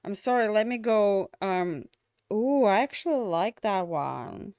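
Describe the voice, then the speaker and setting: A young woman streamer winds down her stream in loose, rambling chat, full of ums and restarts. She is tired and her voice is fading, yet it still comes through smooth and clear. The sound is close and dry, with no room around it. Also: mid-range voice, expressive, a little slow, a little mellow, dry recording. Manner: rambling, many fillers and restarts. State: losing her voice. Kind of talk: casual end-of-stream chat.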